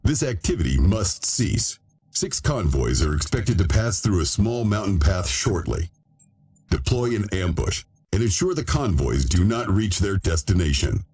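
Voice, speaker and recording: An actor playing a stern, authoritative military officer, reading a formal, commanding mission briefing in a video game in a husky voice. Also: male voice, sits low, big pitch swings, a little slow, darkish tone, full character voice.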